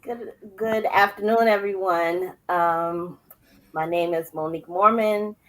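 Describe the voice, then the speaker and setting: Guest author, woman from Brooklyn, slow and measured, a little low. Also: fairly even intonation. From Brooklyn, New York. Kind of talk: casual interview.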